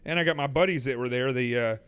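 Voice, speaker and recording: A man's voice: mid-range voice, fast talker, a bit bright, clean tone, no echo. Rambling sarcastic male show host. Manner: rambling, sarcastic, lots of fillers. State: sore throat. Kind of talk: casual livestream monologue. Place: studio in Manhattan.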